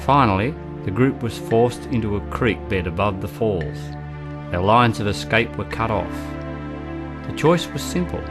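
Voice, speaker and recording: A man narrating a scripted historical radio drama in a grave, measured manner. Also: fairly low pitch, expressive, over music.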